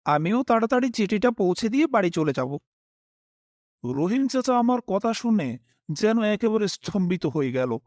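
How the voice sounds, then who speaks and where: High-pitched, expressive young male storyteller. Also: moderate pace, dry recording, clean studio sound. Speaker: first-person narrator and main character. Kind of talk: dramatised scripted horror story narration.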